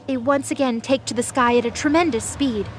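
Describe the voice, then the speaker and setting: A woman's voice: high voice, fairly quick, a little hiss or hum. A woman reading scripted narration as the narrator of a fantasy audio drama.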